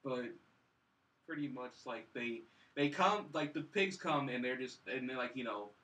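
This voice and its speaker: A man's voice: mid-range voice, lively intonation, normal speed, pushed and bright, distant mic, low-quality recording. A man chatting casually as a podcast panelist about films, rambling and leaning heavily on the word "like". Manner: rambling, heavy use of "like". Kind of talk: casual chat.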